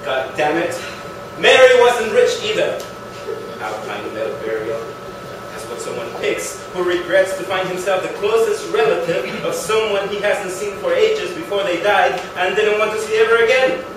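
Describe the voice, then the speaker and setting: A male actor plays a pompous undertaker who holds forth with scorn and grand rhetoric. His voice sits high for a man. It comes from a distance in a live theatre reading, with clear noise around it. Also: expressive, moderate pace. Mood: indignant, grandiose. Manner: declamatory, bombastic, sarcastic. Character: pompous, scornful, grandiose cut-rate undertaker and businessman husband. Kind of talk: staged play reading. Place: live reading in an echoey performance room. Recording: roomy, distant, noisy live theatre recording.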